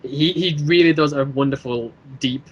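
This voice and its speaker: A man in a casual podcast chat, explaining his own creative work in a rambling, halting way and repeating phrases as he goes. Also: mid-range voice, lo-fi sound. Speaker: guest, creator of an audio drama series.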